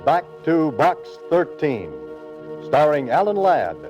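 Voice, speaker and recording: Male announcer, 1940s radio. Higher register, deliberate pace, dull vintage sound under loud music. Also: sing-song.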